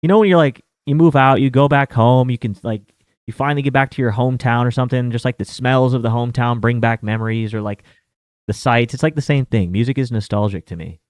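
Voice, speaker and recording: A man's voice: medium pitch, quick pace, darkish tone, dry recording. A man around thirty hosting a sports betting show, talking in a rambling, casual way with many fillers and some mild swearing. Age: 30s. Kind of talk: casual chat.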